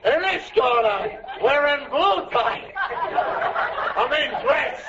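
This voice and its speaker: 1940s radio sitcom, sly American butcher-announcer, very high pitch, booming patter, hammy, audience behind. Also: male voice, expressive, very slow, over a noisy crowd, exaggerated voice. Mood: showy, proclaiming. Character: hammy small-town butcher playing ring announcer, sly showman. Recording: muffled old radio with audience.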